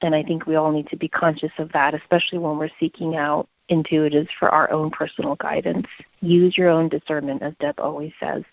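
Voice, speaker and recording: A woman's voice: sits low, flat delivery, brisk, creaky, no room sound, lo-fi sound. A woman co-hosting a conversational podcast, speaking in an earnest, opinionated way. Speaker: podcast co-host.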